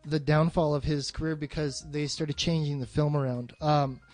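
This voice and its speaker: A man's voice: fairly high pitch, level tone, normal speed, a little mellow, faint music behind. A man in a casual film discussion as a co-host, blunt and swearing often.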